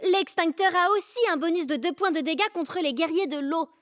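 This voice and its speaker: A woman's voice: extremely high pitch, a little fast. A woman voicing an earnest, know-it-all young girl who explains game strategy in a cartoon. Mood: earnest, instructive. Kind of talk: cartoon dub acting.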